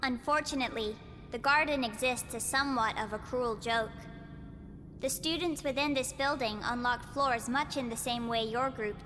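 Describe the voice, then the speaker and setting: A woman playing a calm, upbeat guide who explains things in a formal, measured way. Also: on the high side. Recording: long reverb with music behind.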